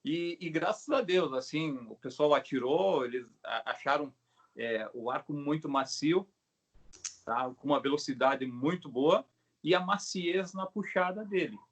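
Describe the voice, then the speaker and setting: A middle-aged man with a Brazilian Portuguese accent explains his craft in a casual, halting way, often checking in with short tag questions. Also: fairly high pitch. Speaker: craftsman, bow maker being interviewed. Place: video call.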